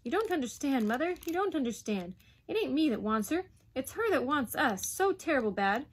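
A woman's voice: high voice, big pitch swings, a little fast, voice pushed up. A woman voicing an earnest working-class schoolboy in a story, urgently imploring. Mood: desperate pleading. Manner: urgent, imploring, words racing.

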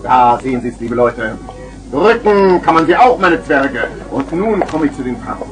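A man's voice: mid-range voice, sing-song, normal speed, over music, lightly acted, poor audio. A man playing a boisterous street hawker with theatrical, wheedling patter in a vintage children's radio play. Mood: coaxing. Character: boisterous male street hawker selling garden gnomes. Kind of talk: 1970s German children's radio play acting.

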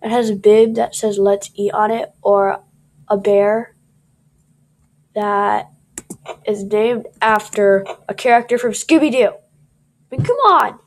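A woman's voice: medium pitch, takes it slowly, long pauses. A young woman with a scratchy voice doing casual gameplay commentary, jittery and cursing as she talks to viewers.

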